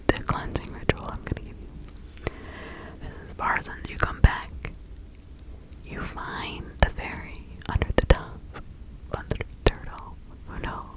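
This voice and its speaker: Casting: woman as kindly 17th-century witch healer, fully whispered, unhurried, gentle instruction for ASMR roleplay. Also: lightly acted, poor audio. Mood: mystical, reassuring. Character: kindly 1600s village witch healer, female, giving counsel.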